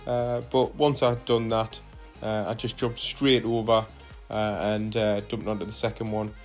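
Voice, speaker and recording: A man narrating footage of his own rides as a cycling vlogger, relaxed and hesitant, with frequent fillers. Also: lowish voice, unhurried, soft background music. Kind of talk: casual voice-over narration of ride footage.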